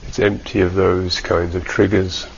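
A man teaching a guided meditation in a measured, halting way with long pauses, on a dull recording. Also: deep voice, level tone, vocal fry, a little hiss or hum.

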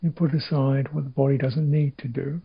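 A man with a husky, creaky voice leads a guided meditation. He speaks as a teacher in halting, thoughtful phrases, leaving long gaps between them. The recording is slightly dull. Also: medium pitch, little pitch movement. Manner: halting, reflective, short phrases with long pauses.

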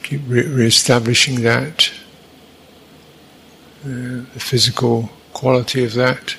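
A man teaching a guided meditation, speaking in short, halting phrases with long pauses between them. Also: mid-range voice, flat delivery, gravelly, a bit bright, a little hiss or hum, rough recording.

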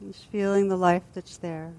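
A woman teacher leading a guided body scan meditation in a gentle, soothing, unhurried manner with long pauses. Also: fairly low pitch, level tone.

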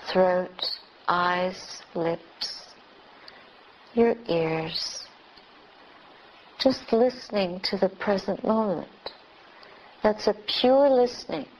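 A woman meditation teacher leading a guided meditation in short, unhurried phrases with long pauses between them. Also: mid-range voice. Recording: dull lo-fi recording with clear background noise.

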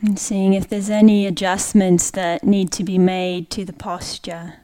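A woman leading a guided meditation, speaking gently in short, unhurried phrases with long pauses. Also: mid-range voice, flat delivery, low-quality recording. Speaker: meditation teacher.

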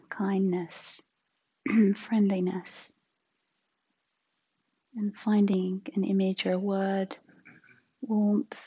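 A woman teaching a guided meditation in a soft, breathy, husky voice, gentle and unhurried with long pauses. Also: medium pitch, lo-fi sound.

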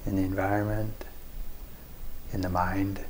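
A man leading a guided meditation as a teacher, speaking calmly and in short, measured phrases with long pauses. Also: low-pitched, slight background noise.

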